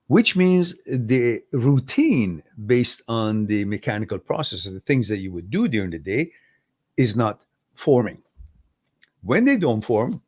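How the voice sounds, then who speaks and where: A man's voice: on the low side, a little mellow, no echo. A man hosting a live question-and-answer stream, reading out viewer messages and explaining his ideas step by step. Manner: thoughtful, repeats and builds ideas step by step, chuckles at mix-ups. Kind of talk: live Q&A talk.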